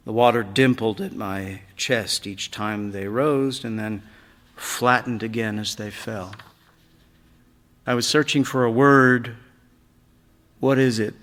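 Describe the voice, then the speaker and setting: A man reading a literary story aloud on stage, in a measured, dramatic way that moves between hush and sudden swells. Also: fairly low pitch. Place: large theatre stage. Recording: reverberant hall, live stage reading.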